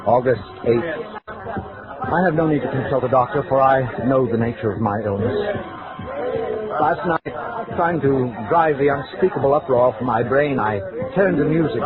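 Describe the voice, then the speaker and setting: A man's voice: mid-range voice, normal speed, a loud crowd, lightly acted. Man acting pedantic, haunted murderer, vintage radio. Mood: anguished, feverish. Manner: pedantic, formal, self-important, clinical diction. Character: cold, precise male scholar-murderer, increasingly tormented, narrating his journal. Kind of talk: old-time radio drama monologue. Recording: vintage radio, narrow band, music behind.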